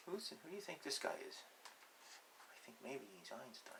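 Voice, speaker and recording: A man reading a picture book aloud to children, deliberate and emphatic in short phrases, with chatty, playful asides. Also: medium pitch, big pitch swings, creaky, noisy background.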